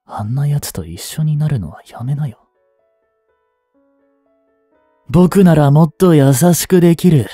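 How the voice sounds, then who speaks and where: A man in his twenties playing an obsessive, jealous young stalker in an intimate voice drama, coaxing softly with long pauses. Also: fairly high pitch, breathy edge, dry recording, clean studio sound, soft background music. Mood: agitated, jealous. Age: 20s. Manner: soft, coaxing, brooding delivery with drawn-out pauses. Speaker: voice actor. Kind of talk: intimate Japanese voice drama, addressed to the listener.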